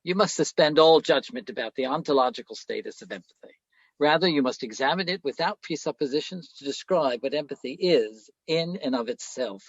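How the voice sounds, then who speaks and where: An actor playing an older, self-absorbed philosophy professor in a radio play, lecturing pompously and haltingly. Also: male voice, on the high side, animated, dry recording, lo-fi sound.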